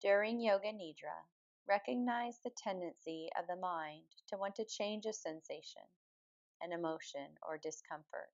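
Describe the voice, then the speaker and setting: Low-voiced woman guiding meditation, muffled sound. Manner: calm, measured, soothing delivery. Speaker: yoga nidra meditation guide. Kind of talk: guided meditation, slow spoken relaxation instruction.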